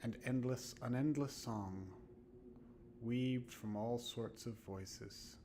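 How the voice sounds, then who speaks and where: Man putting on a low, hushed horror storyteller. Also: a little hiss or hum, natural voice. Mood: eerie, hushed. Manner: hushed, deliberate, smooth reading. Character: storyteller narrating an eerie horror tale. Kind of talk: scripted narration of a horror story.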